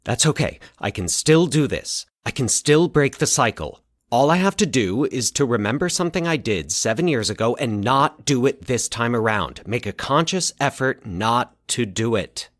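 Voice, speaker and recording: A man voicing an anxious, excitable male character thinking aloud in halting, agitated bursts in an audio drama. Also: medium pitch, no room sound, clean studio sound, pitched above the performer's own voice, natural voice. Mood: distressed, determined. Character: Mike, anxious excitable man puzzling out eerie coincidences. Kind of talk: dramatic audio fiction monologue.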